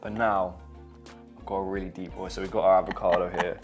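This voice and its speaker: Husky young man, joking around. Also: deep voice, little pitch movement, fast, lo-fi sound, faint music behind. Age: young adult. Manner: jokey and teasing, laughs often. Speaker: vlogger cooking with his mum. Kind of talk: casual chat while cooking.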